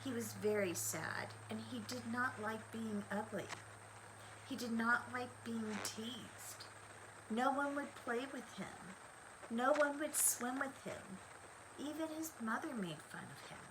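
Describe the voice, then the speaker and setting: Older lady reading a kids story, slow n expressive, lots of background noise. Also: a little high. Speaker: storybook reader. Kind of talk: scripted children's story narration.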